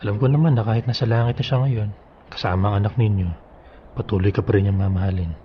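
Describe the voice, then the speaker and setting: An actor playing a somber, caring older brother-in-law in a Tagalog radio drama, consoling and measured. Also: male voice, fairly low pitch, fairly even intonation, highs cut off, a little hiss or hum, lightly acted. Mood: gentle concern.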